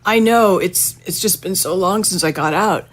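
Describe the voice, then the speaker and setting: An actress playing a gentle, evasive, ailing mother in an audio drama, soothing and hesitant. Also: on the low side, moderate pace, close to an everyday voice. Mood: gentle, reassuring. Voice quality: sickly, frequent coughing and throat clearing.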